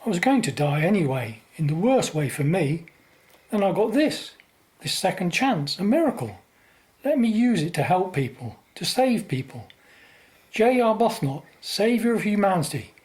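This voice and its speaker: Earnest young son for radio play, woman acting, lower register, very lively intonation, self-sacrificing. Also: moderate pace. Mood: resolute, pleading.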